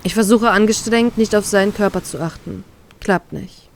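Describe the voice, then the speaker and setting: A woman's voice: mid-range voice, normal speed, vocal fry, a little hiss or hum. A woman reading a first-person fan fiction story aloud, voicing a heartbroken inner monologue.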